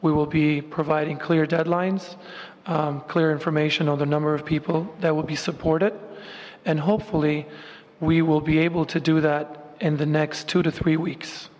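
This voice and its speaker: A man opens and steers a formal group meeting. He talks in a fairly high, level voice, measured and full of small ums. His words ring out with the long reverb of a large meeting room. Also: lo-fi sound. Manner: measured, filler-heavy, steady delivery. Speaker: meeting facilitator.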